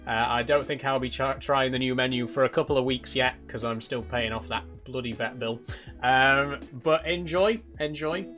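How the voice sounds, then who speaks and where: Bright-voiced British man livestreaming. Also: medium pitch, normal speed. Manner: chatty, polite, appreciative. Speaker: live streamer. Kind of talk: casual livestream chat with viewers. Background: background guitar music.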